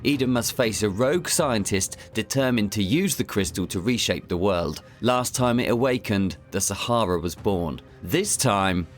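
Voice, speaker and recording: A man's voice: on the low side, expressive, moderate pace, few pauses, soft background music. A man hosting a podcast, moving between scripted narration and interview talk as an adventure novelist.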